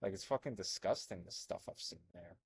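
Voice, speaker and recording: A young man from Albania who grew up in America, talking over on-screen text in a rambling, animated way full of fillers and restarts. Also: low-pitched, fairly quick, breathy edge. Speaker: health coach. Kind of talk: casual commentary reading from a screen.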